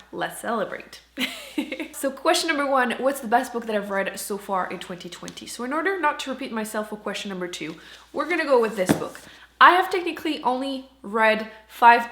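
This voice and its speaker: A woman's voice: fairly high pitch, animated, normal speed, fairly bright tone. A woman talking casually to camera as a book reviewer, chatty and informal, putting emphasis on key words. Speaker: book reviewer and channel host. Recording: roomy indoor echo.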